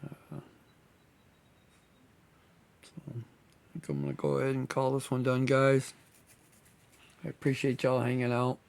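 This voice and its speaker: A man, hosting his model-building channel in a relaxed, rambling way, slightly hoarse as he is losing his voice. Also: mid-range voice, unhurried, long pauses. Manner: relaxed, rambling, many fillers. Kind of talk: casual chat to viewers.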